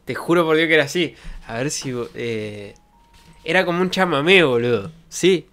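A man's voice: a little high, expressive, normal speed, a bit bright. A young man with a Santa Fe Argentine accent, chatting casually and colloquially on a livestream in a jokey storytelling way. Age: young adult. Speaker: streamer. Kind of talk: casual livestream chat, reminiscing. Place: bedroom streaming setup. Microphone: close desk microphone with pop filter.

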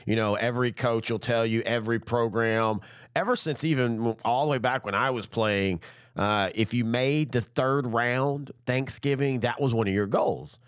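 Stuffy-nosed sports host, dry sound. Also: male voice, mid-range voice, normal speed, clean tone, no echo. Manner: rambling, self-correcting, enthusiastic. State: congested head cold. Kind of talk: casual sports talk. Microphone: studio mic.

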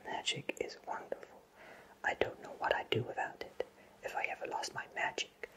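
A man in his thirties playing a gentle, courteous potion shopkeeper in a whispered ASMR roleplay, deliberate and close to the mic. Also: medium pitch, close to an everyday voice, poor audio. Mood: wistful, enchanted.

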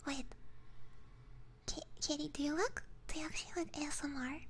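A woman whispering hushed, casual ASMR chat to viewers, halting and close on a binaural microphone. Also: high voice, steady tone. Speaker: ASMR streamer. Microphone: close binaural ear microphone. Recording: clear background noise.

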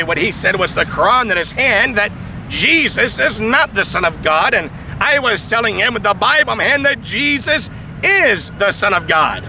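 A man in his thirties preaches into a handheld mic in a large outdoor park, his voice sitting high for a man. He tells his story emphatically, repeating phrases for weight. Clear traffic noise runs under the outdoor recording. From Thailand. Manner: emphatic, repetitive preaching with gestures. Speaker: street preacher. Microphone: handheld mic, camera at mid distance. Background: city traffic.

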